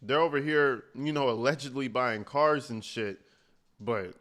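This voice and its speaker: A man's voice: medium pitch, measured pace, fairly bright tone. A man hosting a reaction stream, giving casual, opinionated commentary in a blunt, profane, conversational way. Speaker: host of a reaction livestream.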